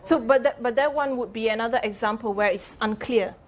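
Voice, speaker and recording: A woman's voice: a little high, fairly quick, a little hiss or hum. A woman lecturer leading a classroom discussion, probing students with follow-up questions. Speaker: psychology lecturer. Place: lecture room.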